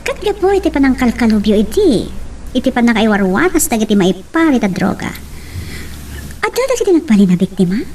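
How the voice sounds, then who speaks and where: A woman's voice: fairly high pitch, big pitch swings, brisk, a little mellow, a little hiss or hum. An actress with an Ilocano accent playing an earnest, insistent young policewoman in a radio drama. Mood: accusing, insistent.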